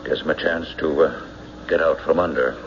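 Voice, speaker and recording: An actor playing a gossipy, hesitant American small-town banker in a radio drama, recalling things in a hemming, folksy way. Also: male voice, extremely low pitch, steady tone. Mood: wry, hesitant. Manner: hemming, folksy, drawn-out recollection. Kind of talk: radio mystery drama acting. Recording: muffled, hissy old radio recording.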